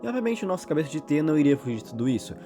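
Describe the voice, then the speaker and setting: A man's voice: fairly high pitch, big pitch swings, very fast. A man with a Brazilian accent doing informal video narration as a channel host, retelling and commenting on horror stories in a conversational way. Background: background music.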